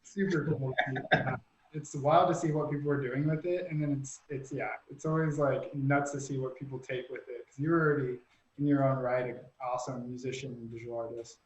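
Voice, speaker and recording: A man hosting a live-stream, enthusiastic and quick to laugh. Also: medium pitch, steady tone, darkish tone. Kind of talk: casual chat. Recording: roomy, dull call audio with faint noise.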